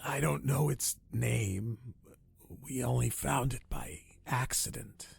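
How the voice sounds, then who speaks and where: An actor playing a dying old castaway in a radio drama, weak and haunted, telling his story in halting, solemn, old-fashioned phrases. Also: mid-range voice, exaggerated voice. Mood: weak, remorseful. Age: older. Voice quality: weak, raspy, breathy.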